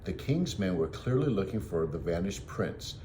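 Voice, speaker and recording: A male teacher with a low voice reads a children's book aloud to kids. His delivery is expressive and swings widely in pitch, recorded with faint background noise. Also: moderate pace. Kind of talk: expressive storybook read-aloud.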